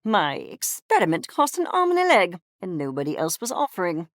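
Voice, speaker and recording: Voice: medium pitch, huge pitch swings, moderate pace, fairly bright tone, dry recording, studio quality. An actor, sounding female, voicing an arrogant, obsessed mad-scientist creature in a video game, with pompous, clinical, precise diction. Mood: brisk, businesslike. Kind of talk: theatrical game character acting.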